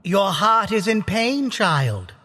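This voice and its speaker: Slow, echoing, solemn older priest played by an actor. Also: mid-range voice, very animated, pushed and bright, full character voice. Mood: solemn, probing. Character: older priest, solemn, menacing zealot offering last rites. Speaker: actor in an audio drama. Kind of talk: audio drama acting. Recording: long church-like reverb.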